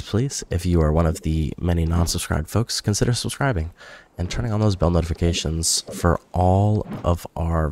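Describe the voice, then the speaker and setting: Deep-voiced man, slightly nasal from a cold. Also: gravelly, a little mellow, slight background noise. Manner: rambling, self-correcting, chatty. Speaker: gaming YouTuber. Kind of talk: casual gameplay commentary. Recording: music playing behind.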